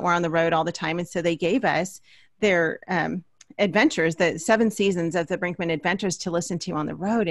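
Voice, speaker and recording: A middle-aged woman hosts a conversational interview. Her voice sits a little low, and she talks in a chatty, storytelling way. The sound is close and dry, from a small studio. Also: no room sound. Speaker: podcast host. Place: small indoor home studio. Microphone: large studio microphone up close.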